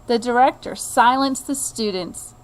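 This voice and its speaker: Slow, higher-voiced woman reading a storybook. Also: slight background noise, low-quality recording. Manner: slow, expressive, deliberate reading to a child. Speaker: teacher reading a picture book aloud. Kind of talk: children's storybook read-aloud.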